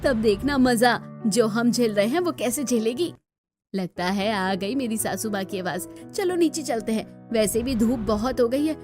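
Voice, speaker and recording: Woman playing a high-voiced grumbling young daughter-in-law. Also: a little mellow. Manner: complaining, gossipy, rustic turns of phrase. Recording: music bed under the voice.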